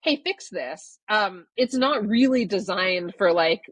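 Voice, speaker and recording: A woman from Canada, a guest author answering podcast interview questions in a thoughtful, measured way that qualifies her claims. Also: medium pitch, animated, husky, dry recording.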